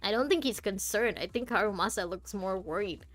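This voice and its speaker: A woman's voice: mid-range voice, a little fast, fairly bright tone, faint music behind. A woman streaming a game, chatting casually and thinking aloud in a rambling way. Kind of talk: casual chatty playthrough commentary.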